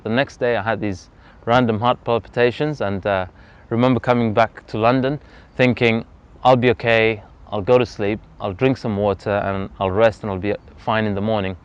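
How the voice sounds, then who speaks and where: A man, telling his personal story as an interview guest outdoors in earnest, reflective, measured speech. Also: lowish voice, steady tone, clear, resonant tone. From Zambia. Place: outdoors on a freezing day. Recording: slightly dull lo-fi outdoor recording.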